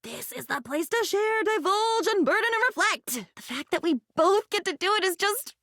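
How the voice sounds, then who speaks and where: High pitched dreamy girlish game character, swoops up and down, earnest n whimsical, kinda flowery and theatrical. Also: expressive, normal speed, pushed and bright, no echo, studio quality, heavily acted. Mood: excited, warm. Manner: whimsical, theatrical, formal flowery diction mixed with chatty asides. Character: female living diary, young, earnest, dreamy, newly self-aware. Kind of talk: video game character voice acting.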